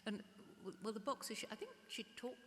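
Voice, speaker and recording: An older woman art historian speaking at a public gallery conversation, halting and often restarting her words. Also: a little high, sing-song, a bit bright. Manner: hesitant, restarts and repeats words. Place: gallery event space before an audience. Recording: echoey hall, faint hiss.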